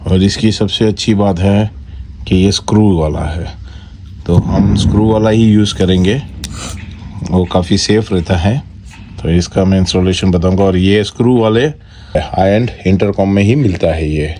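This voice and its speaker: A man with an Indian accent giving a casual, step-by-step product walkthrough in short, halting phrases. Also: low voice. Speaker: product reviewer and vlogger. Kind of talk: casual unboxing walkthrough, Hindi mixed with English. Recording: noticeable background noise.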